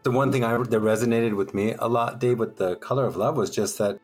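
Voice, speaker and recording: A man's voice: mid-range voice, brisk. A middle-aged man talking reflectively in a group discussion, candid and encouraging. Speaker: discussion participant. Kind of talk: reflective personal conversation. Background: music.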